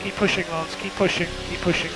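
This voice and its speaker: A man's voice: sits high, level tone, fast, creaky, heavy background noise. A man working as a race engineer, giving encouraging instructions over team radio. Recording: team radio under loud music.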